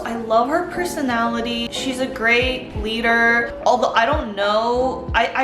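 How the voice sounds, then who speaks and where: A young woman vlogger chatting casually and enthusiastically, peppering her talk with "like". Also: on the high side. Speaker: vlogger reviewing a TV show. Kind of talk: casual chat. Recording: roomy echo with background music. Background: soft background music.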